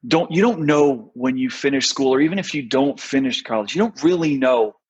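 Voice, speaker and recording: A middle-aged American man, a podcast guest, telling his career story in a relaxed way with many fillers. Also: medium pitch, fairly quick, highs cut off, slight background noise. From United States. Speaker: guest, talent strategist. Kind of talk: podcast interview.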